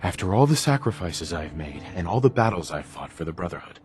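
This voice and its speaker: Game hero soldier, low voice, earnest and stubborn, loud music behind him. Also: male voice, very animated, brisk. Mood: firm appeal. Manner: earnest, plain-spoken. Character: loyal male soldier, principled, stubborn defender of friend. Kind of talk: dramatic video game acting. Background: loud cinematic music.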